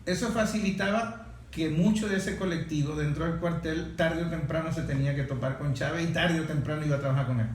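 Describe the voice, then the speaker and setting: Man, Venezuelan accent, interviewer, slightly raised pitch, conversational questioning, heavy room echo, faint background noise. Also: brisk.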